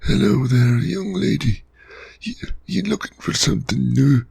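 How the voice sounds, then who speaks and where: A man voicing a leering lowlife male tailor in an ASMR audio drama, with sleazy, coaxing sales patter. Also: expressive, measured pace, vocal fry, darkish tone, a little hiss or hum.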